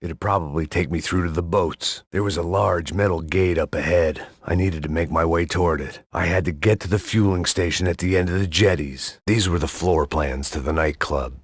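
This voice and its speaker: Game noir lead: a weary, cynical middle-aged ex-cop, gravelly and very low, dry and close to the mic. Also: male voice, moderate pace, few pauses, no room sound. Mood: weary sardonic. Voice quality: gravelly, deadpan, sardonic. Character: weary hard-drinking male ex-cop, bitter, middle-aged. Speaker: game protagonist. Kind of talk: noir inner-monologue narration.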